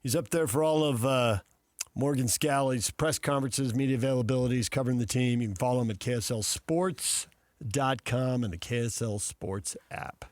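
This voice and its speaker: A man's voice: mid-range voice, moderate pace, darkish tone, no echo. A man with an American accent hosting a live sports talk radio show.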